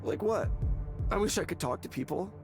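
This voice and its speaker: A troubled man in a visual novel, desperate and pleading. His halting speech stumbles over itself, and his pitch swings widely, with music playing behind him. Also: animated. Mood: bitter, desperate. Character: despairing, agitated young man in a counseling session. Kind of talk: naturalistic visual novel voice acting.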